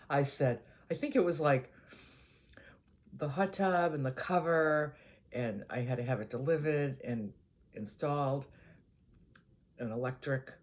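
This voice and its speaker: An older woman with a Boston accent, chatting casually to her channel in an easygoing, rambling way, often letting her voice trail off quietly. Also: extremely low pitch, slow pace, long pauses. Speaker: vlogger. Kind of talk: casual chat to camera.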